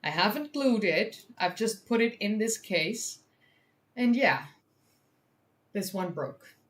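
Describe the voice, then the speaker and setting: A Dutch-accented woman hosts a science livestream in her second language. Her pitch swings widely as she chats excitably with viewers, swearing casually and making fun of herself. She talks at an unhurried pace. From Netherlands. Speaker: science communicator and livestream host. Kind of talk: casual livestream chat, reading from a study.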